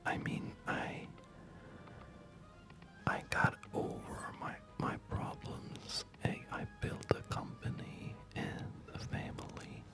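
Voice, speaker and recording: A man in his thirties whispers softly and breathily in an ASMR gaming commentary, speaking gently with hesitant pauses. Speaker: ASMR video creator. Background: music.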